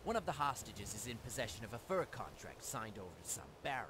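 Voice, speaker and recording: Man acting a sly fence with swooping pitch. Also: big pitch swings, quick pace, lightly acted. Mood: scheming, assured. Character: shady male fence and thief boss, sly, businesslike. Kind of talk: video game character dialogue. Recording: noisy ambience behind the voice.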